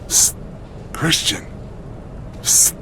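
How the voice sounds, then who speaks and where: A man's voice: drawn-out words, noisy background. A man playing a huge, friendly, eager wrestler in scripted video game dialogue.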